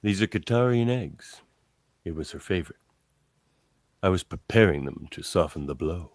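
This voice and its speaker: Man acting older starship captain, low voice, commanding, brisk, theatrical, dry close sound. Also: expressive, no echo. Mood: reflective, offhand. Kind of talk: amateur radio-play script read.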